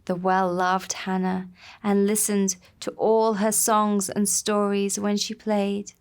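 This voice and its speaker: A woman narrating a children's puppet-show story in a gentle, unhurried storybook manner. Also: mid-range voice, flat delivery, clean tone.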